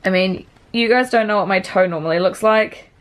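A young woman in her twenties with a raspy voice, chatting casually to a camera held at arm's length, full of "like" fillers. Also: mid-range voice, brisk. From New Zealand. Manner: chatty, filler-heavy with frequent "like". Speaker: vlogger. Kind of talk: casual vlog talk to camera. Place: apartment living room and parked car.

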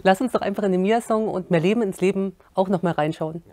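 A middle-aged woman moderating an interview, thoughtful and pausing to search for words, in an indoor studio. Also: medium pitch, noisy background.

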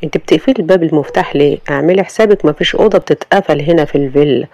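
A storyteller voicing an arrogant, mocking rich husband with an Egyptian accent, giving cold commands. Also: male voice, a little high, racing, darkish tone, a little hiss or hum, natural voice. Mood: domineering.

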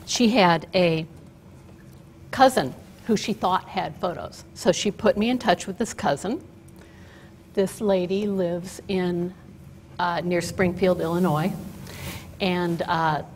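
An older woman giving a chatty, story-driven talk at a podium, telling it with fillers and asides in a loud voice. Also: lowish voice, a little hiss or hum. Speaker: guest speaker. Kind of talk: lecture with slides. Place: auditorium stage at a podium. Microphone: podium mic.

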